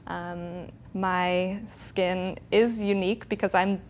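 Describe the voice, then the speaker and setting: A woman's voice: fairly low pitch, fairly even intonation, takes it slowly, slight background noise. A woman in her twenties talking candidly and reflectively about her own skin. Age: 20s. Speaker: participant. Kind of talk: candid conversation.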